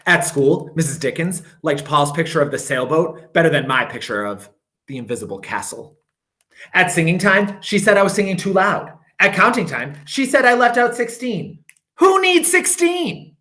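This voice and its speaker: Bright-voiced man reading a storybook aloud. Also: on the high side. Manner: expressive, drawn-out emphasis on repeated phrases. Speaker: teacher. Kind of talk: reading a children's picture book aloud.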